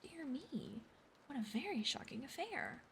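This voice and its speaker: A woman voicing a suave, composed male naturalist in a soft whisper while reading a story aloud. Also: sits high, sing-song, a little slow, gravelly, slight background noise, pitched above the performer's own voice, heavily acted. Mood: polite, composed.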